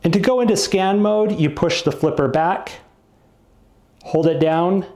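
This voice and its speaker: A man's voice: high voice, unhurried, darkish tone, slight background noise. A man giving a casual product review, explaining things plainly, step by step.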